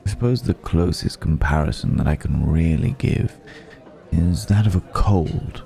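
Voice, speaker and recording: A man playing a suave, courteous vampire in an intimate ASMR roleplay, speaking in a refined, formal, gently amused way with lingering pauses. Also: deep voice, expressive, gravelly, heavily acted. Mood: reflective, confiding. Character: suave, courteous male vampire dining with a mortal guest.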